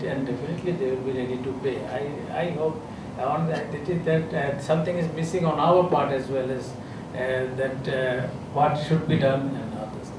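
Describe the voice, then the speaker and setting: A middle-aged man with a Bangladeshi accent speaks at a podium in a conference room, his slightly high voice thick with echo. He hesitates often and fills pauses with uh as he feels his way through his points. The mic sits well away from him and steady noise runs beneath. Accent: Bangladeshi English. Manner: hesitant, many uh fillers and restarts. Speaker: government health official speaking on a panel. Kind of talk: unscripted panel remarks. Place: conference room with a seated audience. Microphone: podium microphone.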